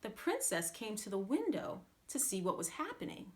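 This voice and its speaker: A woman reading a fairytale aloud as a children's storyteller, with theatrical, drawn-out emphasis. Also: medium pitch, wildly swooping pitch. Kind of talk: scripted fairytale narration for children.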